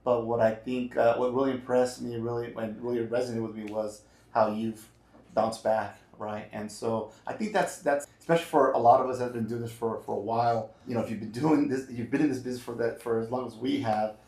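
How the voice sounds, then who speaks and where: An energetic man hosts a casual business chat. His talk is loose, full of "man" and "right?". His voice sits at a middle pitch and carries the echo of a roomy space. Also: brisk. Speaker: podcast host.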